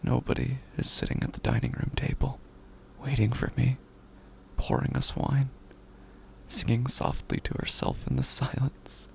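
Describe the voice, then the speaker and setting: An actor playing a grieving, isolated, unhinged man in a first-person horror audio drama, breathy and gravelly, often whispering, with a halting, repetitive delivery. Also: mid-range voice, level tone, darkish tone, slight background noise, rough recording. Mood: hushed, numb.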